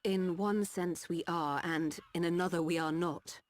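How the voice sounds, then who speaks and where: A lowish female voice, measured and polite, belongs to a caring doctor of a mechanical people played by a woman. She speaks formally and with composure, as in a video game cutscene. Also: fairly even intonation, natural voice. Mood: calm, explanatory. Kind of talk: scripted game cutscene acting.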